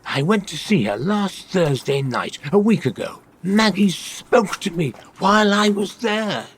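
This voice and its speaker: An actor playing a gentle, earnest, grieving old widower with a creaky voice in a radio comedy. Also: male voice, high-pitched, expressive, a little slow, slight background noise. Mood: hopeful, trusting. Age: older, about 70s. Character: grieving, trusting elderly widower shopkeeper.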